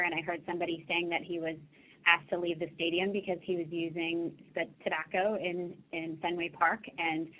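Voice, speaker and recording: A woman's voice: on the low side, fairly bright tone, rough recording. A woman on a webinar panel, telling a friendly anecdote in a casual way.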